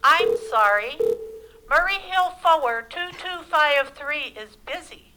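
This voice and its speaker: An actress playing a polite, unruffled telephone operator in an old-time radio play, formal and by the book. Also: female voice, high-pitched, lively intonation, takes it slowly, very noisy. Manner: formal, procedural, patient.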